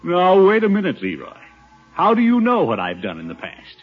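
A muffled 1950s radio recording of a pompous American uncle in middle age. His voice swings widely in pitch and has a husky edge, full of theatrical bluster. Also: huge pitch swings, moderate pace, soft background music, heavily acted. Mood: boastful bluster. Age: middle-aged. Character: pompous, blustering middle-aged uncle, fond but easily flustered. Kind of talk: 1950s radio comedy acting.

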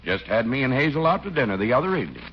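An actor playing an easygoing, hesitant small-town police chief in a mild, halting 1940s radio comedy style. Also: male voice, medium pitch, very animated. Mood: pleading. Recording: muffled, hissy 1940s radio recording.

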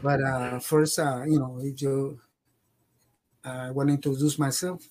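A man in his sixties with a Puerto Rican accent, a native Spanish speaker, telling his life story haltingly as a podcast guest. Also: mid-range voice, level tone, a little mellow. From Puerto Rico. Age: 60s. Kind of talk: personal life-story interview.

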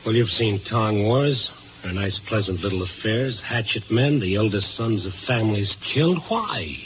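An actor playing a tough, sardonic newspaper reporter, speaking tersely and wryly in a 1950s radio drama. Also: male voice, lowish voice, expressive, normal speed, background noise, close to an everyday voice. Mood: wry, pressing. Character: tough, sardonic newspaper reporter narrating his night investigations. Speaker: lead actor and narrator. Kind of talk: 1950s radio drama acting, noir narration. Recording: tinny 1950s radio recording, music behind.

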